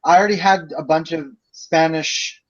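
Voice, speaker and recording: A man's voice: a little high, steady tone, normal speed, dull audio, a little hiss or hum. A young man in his twenties, a student chatting casually with classmates about courses on a video call, rambling through explanations with lots of fillers. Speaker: student in a group project.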